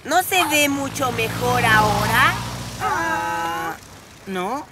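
A woman's voice: sits high, lively intonation, drawn-out words, very noisy, heavily acted. A woman with a Latin American Spanish accent voicing a gloating, bossy young ninja villain in a children's cartoon dub. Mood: smug, excited. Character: boastful young boy ninja villain, scheming gang leader. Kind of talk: animated children's cartoon dubbing. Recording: loud music under the voice.

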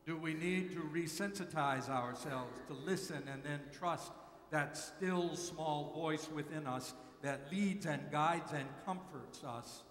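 A man's voice: fairly high pitch, a bit bright. Older male pastor preaching in echoey sanctuary. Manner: measured preaching with slow repeated emphasis. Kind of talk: sermon. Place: large church sanctuary at a pulpit. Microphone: pulpit mic, far camera. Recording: echoey church hall.

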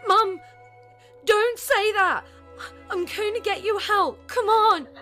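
A young woman playing a frightened but defiant daughter in an audio drama, distraught and forceful. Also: very high voice, takes it slowly, soft background music, heavily acted.

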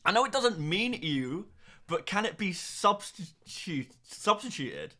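A man's voice: high voice, big pitch swings, slow pace, bright, forceful tone. A young man hosting a livestream, chatting casually and reading out viewer comments in a playful, rambling way. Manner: playful, rambling, lots of restarts and laughs. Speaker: livestream host.

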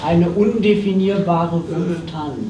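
A man playing a brisk auctioneer in a live comedy audio play, chanting bids and drawing out his calls. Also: sits high, a loud crowd. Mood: theatrical, brisk. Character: brisk theatrical male auctioneer selling off a practice. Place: small theatre stage, indoors. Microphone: stage microphone, recorded by far camera. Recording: noisy, echoey, distant live stage recording.

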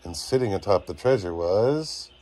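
A man's voice: deep voice, lively intonation, moderate pace, darkish tone. A man in his thirties, a father, reading a storybook aloud expressively to children. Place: small dim bedroom, lying down beside children. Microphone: phone at arm's length.

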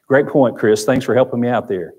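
A man's voice: mid-range voice, fairly quick, a little mellow, slightly rough. A man with an Oklahoma accent teaching a Sunday Bible class in a church room, talking conversationally and repeating phrases. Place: church classroom with projector screen.

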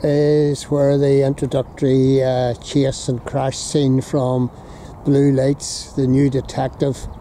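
A man's voice: mid-range voice, completely flat delivery, slow, dark tone. A middle-aged man with a Northern Irish accent, chatting casually as he films from a windy hilltop, rambling with fillers and correcting himself. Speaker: vlogger. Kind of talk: casual walking commentary. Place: outdoors on a windy hilltop viewpoint. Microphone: handheld phone camera. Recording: wind noise on a phone mic outdoors. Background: wind.

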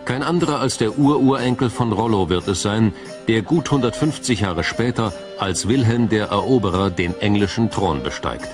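A man reading a scripted, dramatic documentary narration in a measured, solemn storytelling manner. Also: lowish voice, expressive, over music.

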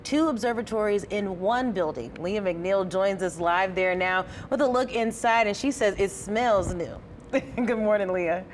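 A young woman co-anchoring a morning TV newscast, playful and quick to laugh. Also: medium pitch, slight background noise. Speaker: news anchor. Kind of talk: morning news studio banter.

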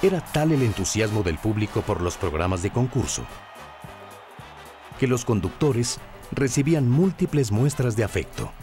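A man's voice: mid-range voice, darkish tone. A man reading scripted narration for a documentary.

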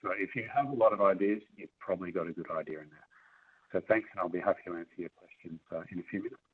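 Very deep man, husky, relaxed and hesitant, tinny phone-like sound, off-mic. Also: fast, dry recording, far from the mic. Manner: relaxed, hesitant, filler-heavy. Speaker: scientist giving a talk. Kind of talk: online forum talk and Q&A. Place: home study with bookshelves. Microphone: webcam on a video call. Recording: tinny phone-like video call audio from off the mic.

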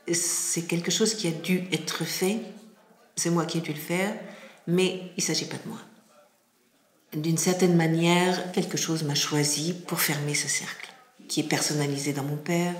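Woman interviewee, slight foreign-sounding accent in French, deep register, pauses to find words, echoey room. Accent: slight, hard-to-place foreign accent in French. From France. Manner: halting, searching for words, pausing often. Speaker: classical music impresario being interviewed. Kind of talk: reflective interview answers. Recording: roomy, echoing indoor sound.

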